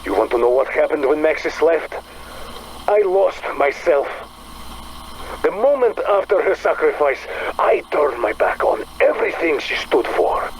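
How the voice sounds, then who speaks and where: Casting: brooding Russian man, fairly high voice with big swings, confrontational dramatic game acting. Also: very animated, measured pace, slight background noise, low-quality recording. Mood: bitter. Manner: intense, curt, confrontational.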